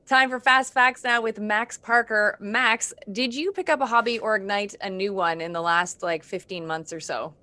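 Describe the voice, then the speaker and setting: A woman's voice: medium pitch, moderate pace, hardly any pauses, sharp tone. A woman hosting a casual entertainment interview. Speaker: show host, interviewer.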